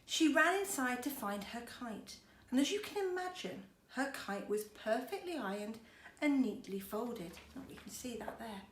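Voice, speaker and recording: A woman's voice: fairly high pitch, lively intonation, moderate pace. A woman schoolteacher reading a storybook to children expressively, with chatty, encouraging asides. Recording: roomy echo, faint background noise.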